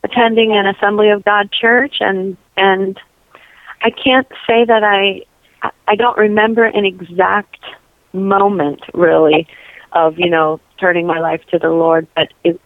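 A woman's voice: medium pitch, level tone, a little slow, no echo. A woman from New York and New Jersey, speaking as an interview guest, who talks earnestly and rambles with many "you know" fillers. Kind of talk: casual testimony interview. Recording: dry tinny phone-like line.

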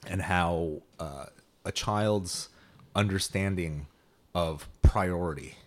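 Low-voiced rambling male podcast host. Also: takes it slowly. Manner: rambling, hesitant, laughs into high pitch. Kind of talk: casual chat.

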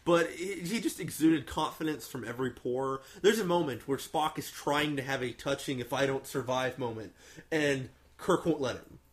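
A man of medium pitch leads a loose fan discussion of films. He is enthusiastic and emphatic, swearing freely and telling things like an excited storyteller. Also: moderate pace. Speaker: host of a film review discussion. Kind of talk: casual, profane fan chat.

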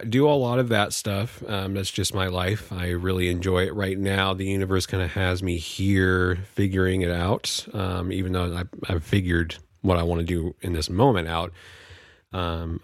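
A man's voice: sits low, gravelly, no echo, clean studio sound. A Californian American man hosting a podcast solo, talking casually in a rambling, jokey, informal way. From California, United States. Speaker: podcast host.

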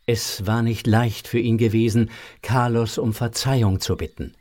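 A man's voice: medium pitch, fairly even intonation, moderate pace, a little mellow. A man narrating a children's audio drama as a scripted storyteller. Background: music.

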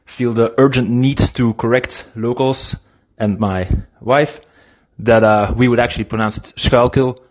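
A man's voice: mid-range voice, fairly even intonation, measured pace, hardly any pauses, a little mellow. A young man from Belgium, a native Dutch speaker with a Belgian Dutch accent, leaving a casual voice memo for a podcast and talking in an easygoing, hesitant way with fillers.

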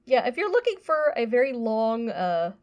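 Casual woman with halting delivery. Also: on the high side, sing-song, dull audio. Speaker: podcast co-host and reviewer. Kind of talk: casual podcast review chat.

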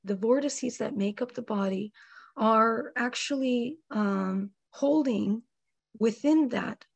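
A woman, hoarse from a cold, speaking slowly and deliberately with many pauses in a spiritual panel discussion. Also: fairly high pitch, dry recording. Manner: slow, measured, many ums. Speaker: panel guest.

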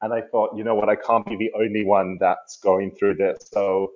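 Australian guy in his 30s, lowish voice, measured interview answers, muffled call audio. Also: little pitch movement, a little mellow. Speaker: podcast guest. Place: at home in London. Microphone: remote call.

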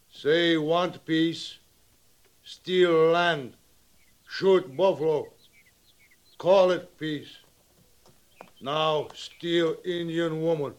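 Radio western war chief: proud, distrustful, stately slow, resonant, speaking clipped broken English. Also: male voice, a little high. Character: proud, suspicious Sioux war chief and father. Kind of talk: old radio western acting.

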